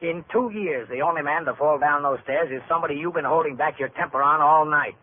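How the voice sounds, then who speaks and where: A man's voice: a little high, close to an everyday voice. Man playing a police captain, tinny vintage radio. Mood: stern. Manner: measured, matter-of-fact questioning. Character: steady, authoritative police captain in charge of a precinct. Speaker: actor playing captain and narrator. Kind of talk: old-time radio police drama acting.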